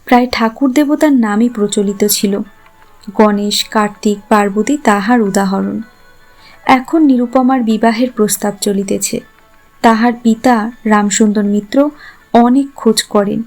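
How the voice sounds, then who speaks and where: Higher-voiced woman narrating a story over music. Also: a little slow, a little mellow. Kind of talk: scripted literary narration in formal Bengali prose.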